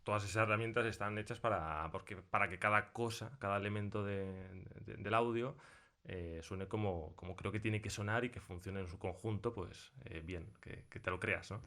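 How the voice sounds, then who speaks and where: Male interview guest, Spain accent, fairly low voice, explains technical things in thoughtful, halting phrases. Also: pushed and bright. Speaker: sound designer being interviewed.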